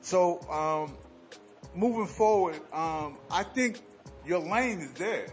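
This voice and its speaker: A man's voice: high-pitched, animated, slow, soft background music. Easygoing male radio host. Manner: easygoing, affirming, repeats phrases. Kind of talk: casual radio interview.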